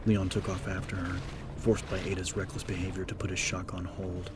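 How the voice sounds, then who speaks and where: A man's voice: low-pitched, little pitch movement, brisk. A man reading a horror story as a dramatic scripted audiobook narration, with music playing behind him. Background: game-style background music.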